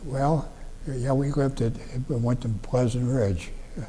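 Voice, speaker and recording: A man in his nineties from Ohio, recalling his youth in a slow, halting way with many restarts. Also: medium pitch, steady tone, dark tone, big-room sound, a little hiss or hum. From Ohio, USA. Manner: halting, plain-spoken, frequent restarts and fillers. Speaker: veteran interviewee. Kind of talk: oral history recollection. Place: library room.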